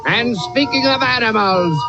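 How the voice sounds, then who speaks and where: An actor playing a brash carnival sideshow barker in old-time radio comedy, declamatory and brusque. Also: male voice, extremely high pitch, normal speed. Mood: showy hawking. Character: brash male carnival sideshow barker, fast-talking showman. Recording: dull vintage radio recording with music.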